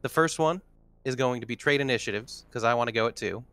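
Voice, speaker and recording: A man's voice: medium pitch, quick pace, sharp tone, smooth. A man speaking casually as a player in a tabletop roleplaying game. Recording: background music under the voice. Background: music.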